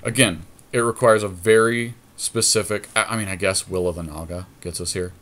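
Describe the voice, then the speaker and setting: Low-pitched guy talking thru card game moves, casual, thinking out loud. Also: fairly even intonation. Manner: deliberate, thinking aloud. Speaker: podcast co-host commentating on own card game play. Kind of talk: casual strategy talk while playing a card game.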